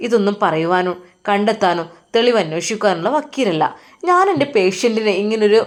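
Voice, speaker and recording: A woman's voice: mid-range voice, lightly acted. A woman voicing a hospital doctor who lectures a young patient at length, firm and advising.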